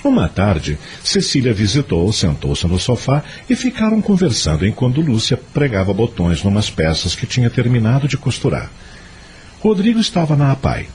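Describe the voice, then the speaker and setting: A man's voice: mid-range voice, wildly swooping pitch, moderate pace, darkish tone, a little hiss or hum. A man with a Brazilian accent narrating a scripted audio drama as a storyteller. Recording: music behind the voice.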